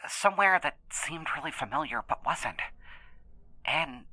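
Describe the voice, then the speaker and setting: Man acting a husky, stammering, anxious young man. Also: a little high, animated, sharp tone, no room sound, exaggerated voice. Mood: uneasy, halting. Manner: halting, stammering, repeating words. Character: anxious earnest young man being interviewed in custody. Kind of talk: naturalistic audio drama acting.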